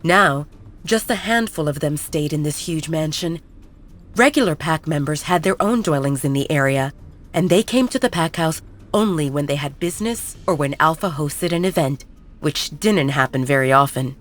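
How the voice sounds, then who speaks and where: Low-pitched woman narrating romantic fiction. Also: expressive, moderate pace. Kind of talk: scripted narration of a romance audio story.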